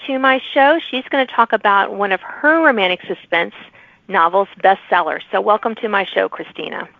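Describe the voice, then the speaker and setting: A woman's voice: medium pitch, moderate pace, creaky, dry recording, phone-quality sound. A woman hosts an interview podcast in a mid-range voice. The recording is dry, with very little room sound. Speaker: podcast host.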